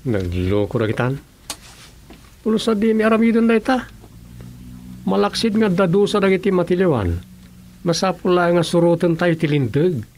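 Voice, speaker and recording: A man playing an excitable young country fisherman in a radio comedy-drama, with an Ilocano accent. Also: sits high, moderate pace, long pauses, a little hiss or hum. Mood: earnest.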